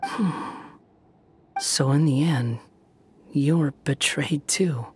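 Slow, aloof, sardonic young man. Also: a little high, slight background noise. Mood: quiet, sardonic. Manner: dry, detached, sardonic. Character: aloof, cynical young male wanderer. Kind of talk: video game voice acting.

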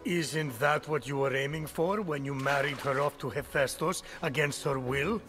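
Man as dry, exasperated Titan narrator for a fantasy game: measured, fairly high voice, music underneath. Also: fairly bright tone, natural voice. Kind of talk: video game narration and banter.

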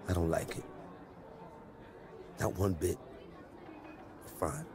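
An actor playing a proud, loyal middle-aged sports agent with a deliberate, streetwise, earnest delivery in a video game. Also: male voice, deep voice, animated, noisy background. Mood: firm, persuasive. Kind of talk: dramatic game cutscene dialogue.

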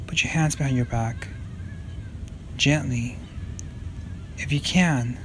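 A man in his thirties guiding a yoga stretching session with slow, calm step-by-step cues. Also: mid-range voice, noisy background. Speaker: yoga instructor. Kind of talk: guided yoga instruction.

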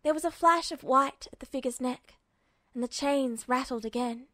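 A woman's voice: sits high, normal speed, fairly bright tone, no echo. A woman reading a scripted story aloud as an audiobook-style fan fiction narrator. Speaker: podfic narrator.

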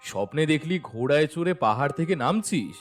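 A man playing an amused village history teacher who questions and gently teases his pupil in an audio drama. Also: fairly high pitch, lively intonation, fairly quick, faint music behind, natural voice. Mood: surprised. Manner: questioning, bantering. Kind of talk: dramatised audio story dialogue.

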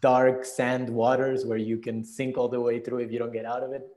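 A man's voice: mid-range voice, level tone, moderate pace, darkish tone. A man in his thirties from Venezuela, answering reflectively as a guest on a video call interview. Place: indoor room, video call.

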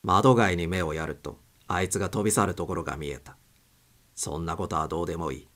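Low-voiced man reading a story. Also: a little fast, a bit bright. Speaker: narrator. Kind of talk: dramatic audiobook reading.

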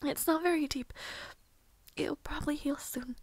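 Woman acting very high, whispery devoted maid. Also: fairly even intonation, no room sound. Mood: soothing. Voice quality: breathy, whispery. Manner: hesitant, halting, tender. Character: devoted, fragile young maid, tearfully relieved, deferential. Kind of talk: intimate audio roleplay.

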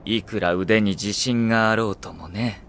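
An actor playing a coolly ruthless, suave young military strategist in an anime audio drama, speaking in a smooth, measured, condescending way. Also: male voice, fairly low pitch, fairly bright tone, slight background noise.

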